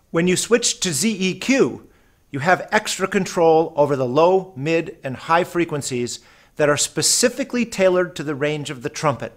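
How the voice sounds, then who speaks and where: Male narrator, slightly high voice, measured and precise delivery for an instructional product video. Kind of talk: scripted narration.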